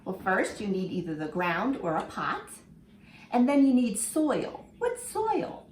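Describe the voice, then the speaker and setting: A woman teacher reading a picture book aloud to children in an animated way, stopping to ask them questions. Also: medium pitch, a little slow, slight background noise. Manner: animated, asks the listener questions. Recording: echoey room with faint ambience.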